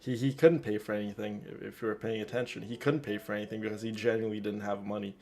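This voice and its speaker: A man livestreaming a game with casual, chatty commentary, often halting and repeating words. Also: on the low side, level tone, a little mellow.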